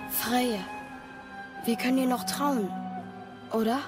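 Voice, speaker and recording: A woman's voice: on the high side, steady tone, slow pace. A performer playing an eager, curious young boy in an audio drama, often questioning. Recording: music behind the voice.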